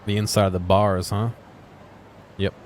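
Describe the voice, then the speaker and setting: A low-pitched man gives off-the-cuff commentary while exploring a video game. He thinks out loud in a casual, wondering way. There is some background noise of street-like ambience behind his voice. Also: flat delivery, unhurried. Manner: musing, thinking aloud. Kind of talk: casual unscripted gameplay commentary.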